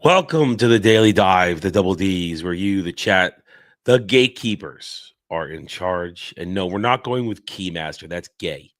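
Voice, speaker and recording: A man's voice: deep voice, lively intonation, measured pace, no echo, clean studio sound. A man hosting a casual livestream, sick, congested and tired, talking bluntly and rambling with restarts. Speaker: livestream host.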